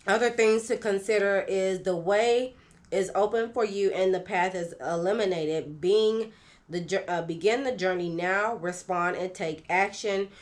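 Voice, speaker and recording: A woman, congested and nasal from a cold, giving an unscripted tarot reading in a slow, halting way with many fillers. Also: mid-range voice. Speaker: tarot reader.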